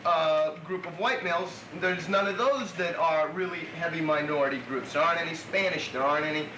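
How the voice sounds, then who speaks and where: A man's voice: on the high side, fairly quick. A young man arguing bluntly and profanely at a heated meeting, rambling with restarts. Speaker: co-op member at a meeting. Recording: echoey room, noisy old tape.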